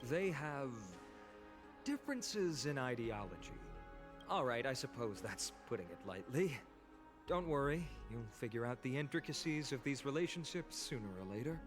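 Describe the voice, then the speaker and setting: An actor playing a kind, earnest young male hero in a game, speaking calmly and reassuringly. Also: medium pitch, moderate pace, music in the background, natural voice. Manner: calm, reassuring.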